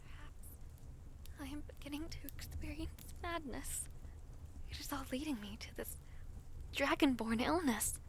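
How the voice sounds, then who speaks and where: A woman playing a gentle, anxious young half-dragon princess in an audio roleplay, speaking softly and formally. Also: high voice, measured pace, long pauses, background noise. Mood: hushed, brooding. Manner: soft, pensive, formal old-fashioned speech. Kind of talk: audio roleplay drama acting.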